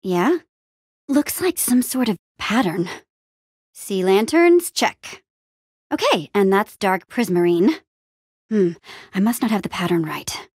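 A woman playing a plucky, bossy but encouraging young adventurer heroine in a video game, with an expressive, casual, peppy delivery. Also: medium pitch, takes it slowly, long pauses, slightly rough, dry recording, clean studio sound. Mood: curious, musing. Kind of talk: animated adventure game dialogue.

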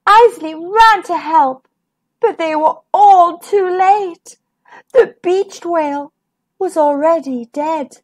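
A woman reading a children's picture book aloud as a storyteller, with expressive delivery that draws out and stresses key words. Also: extremely high pitch, slow. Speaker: storyteller, host of a children's read-aloud show.

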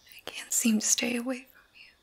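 Woman voicing a wry lovesick young vampire, whispers breathy in a flat tone, for an ASMR roleplay. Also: fairly high pitch, fairly quick, heavily acted. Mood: wistful. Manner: soft whispering, dry teasing.

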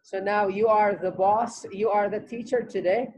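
A man's voice: high voice, steady tone, moderate pace, a little mellow, dull audio. A young man leads an online English lesson from his home through a laptop mic. He talks loosely, repeating words and filling gaps as he goes. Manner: repeats himself, many fillers. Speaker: English teacher hosting online class. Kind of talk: casual online class talk. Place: home room on video call.

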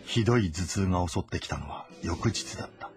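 Male narrator for a historical epic, lowish register, measured and terse, light music bed. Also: lively intonation. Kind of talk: dramatic scripted narration of a historical novel. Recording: voice over background music.

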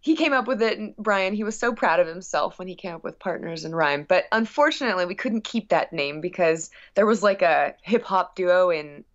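A woman speaking as a musician guest in a casual interview, telling stories in an effusive, animated way. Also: on the low side, a little fast, few pauses, highs cut off.

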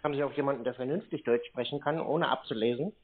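A man's voice: medium pitch, fast talker, husky. A man in a casual phone conversation, giving short, blunt replies that feign confusion and challenge the caller. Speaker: prank call recipient stringing callers along. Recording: narrow phone-like sound, voices in background.

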